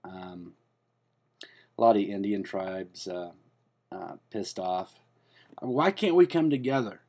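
Guy doing a rambling defensive dude, low mellow voice, lots of ums and restarts. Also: takes it slowly. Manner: hesitant and rambling, full of fillers and restarts; deadpan, earnest delivery of absurd claims. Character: rambling, defensive, self-important adult man. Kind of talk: comedic spoof monologue to camera.

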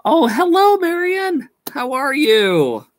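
A man in his thirties talks to his live stream viewers through a desk webcam in a small room at home. His voice is fading as he loses it, and he speaks very slowly with many halting restarts. The sound is close and dry. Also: very high-pitched, sing-song, dry recording. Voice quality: slow, halting, with frequent restarts and laughs.

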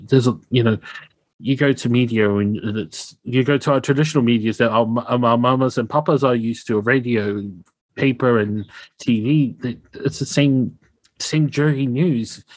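A man's voice: mid-range voice, moderate pace, dry recording, poor audio. A young man hosting a podcast over a video call with a headset, talking in an effusive, enthusiastic way. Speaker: podcast host. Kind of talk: casual interview chat. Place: home room, indoors.

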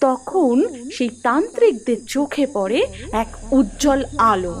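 A woman's voice: high-pitched, sing-song, measured pace. A woman narrating a scripted cartoon fairy tale as a storyteller.

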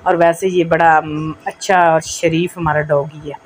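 Pakistani woman vlogger, fairly even pitch. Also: moderate pace. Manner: chatty, addressing viewers directly. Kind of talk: casual vlog voice-over. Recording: noticeable background noise.

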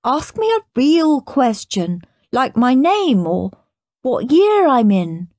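An earnest little goody-goody boy voiced by a woman in a storybook reading. The voice is very high and animated, prim and plaintive with a whiny edge. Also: takes it slowly, dry recording, studio quality, full character voice. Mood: indignant.

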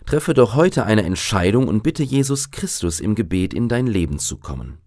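Low, lively male radio host. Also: moderate pace, no room sound. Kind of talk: scripted address to young listeners. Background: music.

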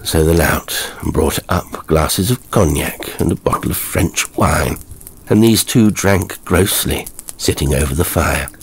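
A man reads a literary horror tale in a very low, rasping voice. His delivery is slow and measured, with a theatrical storyteller's weight on each phrase. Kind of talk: scripted literary narration.

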